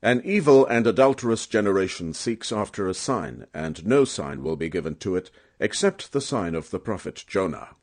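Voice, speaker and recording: A man voicing a stern, authoritative holy teacher in a scripture audiobook, forceful, solemn and declamatory. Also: deep voice, lively intonation, normal speed, hardly any pauses. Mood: rebuking.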